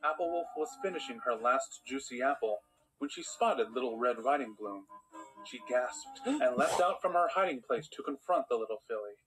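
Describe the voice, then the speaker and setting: A man's voice: mid-range voice, animated, moderate pace, a bit bright, lightly acted, rough recording. Man acting a storybook narrator over music. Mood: storytelling. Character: patient but exasperated male bedtime storyteller. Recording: music behind the voice.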